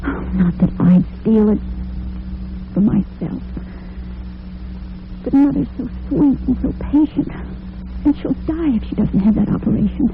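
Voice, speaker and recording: Earnest, caring young daughter, fairly high voice, old radio drama, faint hiss. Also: female voice, lively intonation, measured pace, vocal fry, pauses often. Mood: anguished. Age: young adult. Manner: earnest, affectionate. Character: earnest, devoted working-girl daughter with money worries. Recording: narrow-band vintage radio with slight noise.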